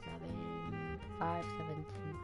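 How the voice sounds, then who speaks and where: A woman's voice: very deep voice, very animated, slow, music in the background. A woman giving casual gameplay commentary as a game streamer, rambling and thinking aloud in informal, slangy speech.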